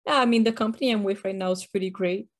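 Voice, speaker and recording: A woman from Brazil talks casually as a podcast co-host. Her explanations are chatty and full of dry, wry jokes. Her pitch sits in an ordinary middle range. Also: fairly even intonation, quick pace. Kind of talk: casual chat.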